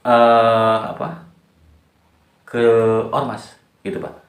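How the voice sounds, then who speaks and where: A man asks an interview guest polite questions and keeps calling him "Pak". His pitch sits in the middle range for a man. His voice echoes around the room. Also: completely flat delivery, drawn-out words.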